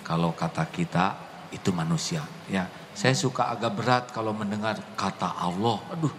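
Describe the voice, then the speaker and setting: A man preaching a sermon to an audience and answering questions, gentle at times, then rising to impassioned exclamations. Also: fairly low pitch, normal speed, big-room sound, slight background noise.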